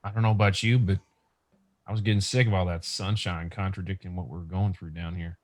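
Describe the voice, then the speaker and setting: A man's voice: low-pitched, fairly even intonation, brisk, natural voice. A man playing a jittery, squeamish zombie survivor. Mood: sheepish, wry. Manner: jittery, stammering, rambling. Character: nervous, squeamish, chatty male survivor of zombie apocalypse. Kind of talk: table read of a comic book script. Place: remote video call table read.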